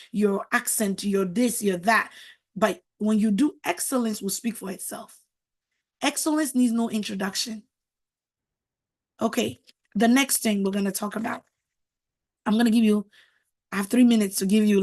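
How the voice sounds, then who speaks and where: A woman's voice: medium pitch, normal speed, pauses often, darkish tone. A middle-aged woman whose first language is French, giving a motivational talk as a marriage coach, emphatic and preachy, repeating words for stress.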